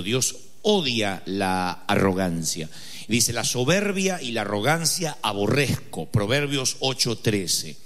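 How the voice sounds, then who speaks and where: A man's voice: mid-range voice, a bit bright. A man with an Argentine accent preaching a sermon, telling a funny personal story with dramatic emphasis. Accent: Argentine Spanish. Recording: reverberant hall.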